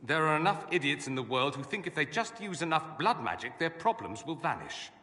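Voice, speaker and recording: An actor playing a witty, self-assured mage scholar in a video game, with an arch, sardonic, eloquent way of talking. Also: male voice, on the high side, sing-song, brisk, pushed and bright, slight background noise. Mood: concerned, urgent. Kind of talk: video game cutscene acting. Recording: long hall reverb.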